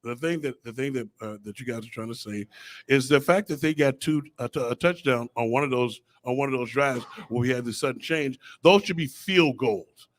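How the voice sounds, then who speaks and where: Male sports panelist, mid-pitched, halting openings into emphatic points, casual debate style.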